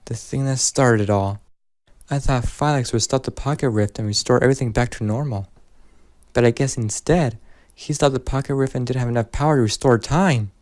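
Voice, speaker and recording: A man's voice: medium pitch, a little mellow, no room sound, clean studio sound, close to an everyday voice. An actor playing an earnest, determined young male hero with a dramatic, resolute delivery in a video game cutscene.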